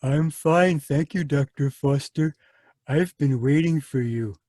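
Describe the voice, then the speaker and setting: A man's voice: fairly high pitch, slow, a little mellow, dry recording, clean studio sound. A man playing a childlike, violent asylum patient who whines and pleads, then turns menacing, in a radio drama. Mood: pleading. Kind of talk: live radio drama reading over video call.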